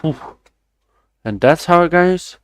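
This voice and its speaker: A man's voice: a little high, lively intonation, takes it slowly, dry recording, clean studio sound. A young man, chatting loosely on a stream, rambling and swearing casually with self-deprecating asides. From Denmark. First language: Danish.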